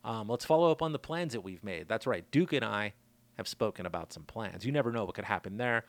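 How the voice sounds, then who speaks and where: Laid-back man with a raspy throat. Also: fairly low pitch, expressive, fairly quick, dry recording. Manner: laid-back, loose, chatty with shout-outs. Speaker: podcast host. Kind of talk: casual rambling monologue. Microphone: studio mic.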